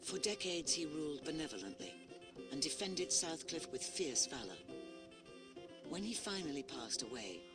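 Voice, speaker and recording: An actor playing a storybook narrator in a video game, telling a heroic fable in a measured, dramatic way. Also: male voice, fairly high pitch, sing-song, music in the background. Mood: stately.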